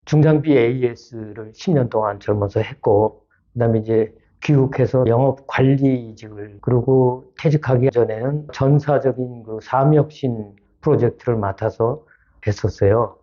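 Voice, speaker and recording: A man in his seventies recounting his working life in a conversational interview style, measured, with hesitant pauses. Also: medium pitch, mellow, muffled recording. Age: 70s. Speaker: retired auto-parts executive, channel creator, interviewee. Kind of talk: conversational personal account in an interview.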